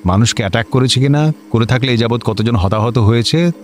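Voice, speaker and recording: An actor playing an officious, excitable district magistrate in a comedy radio play, with a clipped manner and English words mixed in. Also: male voice, medium pitch, a little fast, natural voice. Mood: scolding, demanding. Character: officious, excitable male district magistrate, bossy to juniors, servile to superiors. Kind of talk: Bengali comedy radio drama acting.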